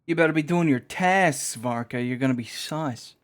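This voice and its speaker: A man's voice: medium pitch, moderate pace. A man livestreaming a game with casual, offhand commentary, slangy and given to swearing. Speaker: game streamer. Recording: game music behind the voice. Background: game music.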